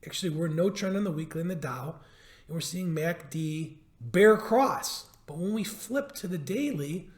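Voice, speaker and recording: Hoarse guy doing a stock market chart update, kinda high voice, pushy and repetitive, echoey room. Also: animated, moderate pace. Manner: emphatic, repeats points, asks rhetorical questions. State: hoarse, losing his voice. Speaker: stock trading educator.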